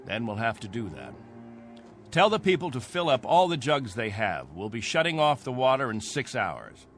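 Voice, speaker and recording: An actor playing a stern, devout captain of a struggling space colony in a radio drama, speaking with solemn, earnest authority. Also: male voice, medium pitch, sing-song, moderate pace, a bit bright, soft background music. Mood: brisk, practical.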